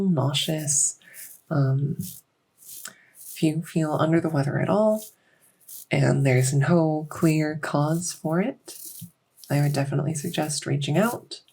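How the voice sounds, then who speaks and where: A woman playing a gentle, reassuring fairy-dragon clinician in an unhurried, slightly hesitant medical roleplay ASMR. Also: low voice, creaky, slightly rough, heavily acted. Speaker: roleplay audio performer.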